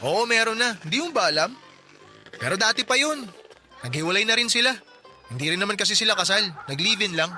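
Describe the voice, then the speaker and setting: A helpful, talkative neighbor in his twenties for a radio drama. His voice sits high and rings very bright. He teases easily, and soft music plays underneath. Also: animated, a little fast, full character voice. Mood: friendly chatty. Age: 20s. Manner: chatty, joking, helpful. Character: friendly chatty young working-class neighbor man. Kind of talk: Tagalog radio drama acting.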